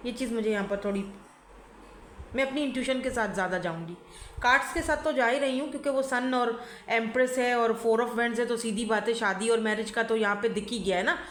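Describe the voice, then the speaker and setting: A woman with a slightly high voice gives a relaxed tarot reading, hoarse from a cold. She talks in a chatty, easy way and keeps checking in with her listener. The room around her rings with echo. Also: slight background noise. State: hoarse from a sore throat and flu. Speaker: tarot reader. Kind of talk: casual pick-a-card reading to camera. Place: indoors at home by a window. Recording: echoey room.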